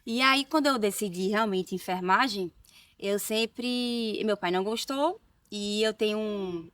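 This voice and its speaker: A woman's voice: medium pitch, measured pace, fairly bright tone, dry recording, far from the mic. A woman from Recife with a Pernambuco accent, a nurse talking as a guest in a casual interview, chatty with tag questions and restarts. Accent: Pernambuco Brazilian Portuguese. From Recife, Northeast Brazil.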